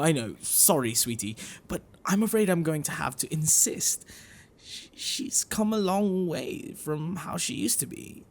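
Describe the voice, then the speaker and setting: A man playing a gentle, bookish half-dragon boyfriend in an intimate ASMR roleplay, affectionate and apologetic, speaking haltingly and softly. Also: medium pitch, vocal fry, a little hiss or hum. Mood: sheepish. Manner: halting, soft chuckles and sighs, dropping to whispers.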